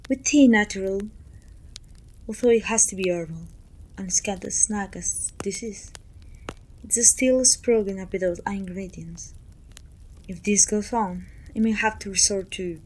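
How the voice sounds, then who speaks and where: A woman playing a pompous, self-pitying evil queen who talks down to her servant in an intimate, breathy ASMR roleplay, often dropping toward a whisper. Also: mid-range voice, a little hiss or hum, natural voice, poor audio. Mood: fussy. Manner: pompous, petulant, theatrical sighing complaints.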